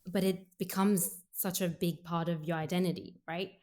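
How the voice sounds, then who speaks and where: A young woman singer from Sri Lanka, raised in Australia, speaks softly in a relaxed interview. Her voice sits low for a woman, and she thinks aloud, filling her sentences with little fillers as she circles her point. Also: moderate pace. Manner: soft-spoken, frequent "like" fillers, thinking aloud. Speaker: singer, guest. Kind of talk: casual interview conversation.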